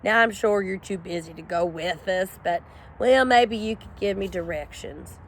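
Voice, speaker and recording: A woman's voice: medium pitch, normal speed, natural voice. A woman voicing a boastful male ranch dog who talks in a pompous, rambling way. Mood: blustering. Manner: pompous, rambling, full of bluster.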